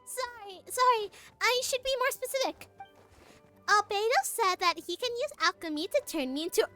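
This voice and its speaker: A woman voicing a small, gentle young storybook dragon in a game, earnest and hesitant, stumbling over words. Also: extremely high pitch, animated, normal speed, pitched above the performer's own voice, exaggerated voice. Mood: apologetic, earnest. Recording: music playing behind the voice.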